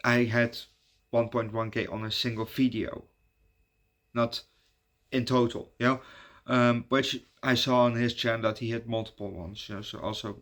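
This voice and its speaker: A man's voice: mid-range voice, little pitch movement, fairly bright tone. Dutch man chatting casually, many fillers. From Netherlands. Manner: halting, many fillers and restarts. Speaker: YouTube reviewer giving shout-outs. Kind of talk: casual rambling chat.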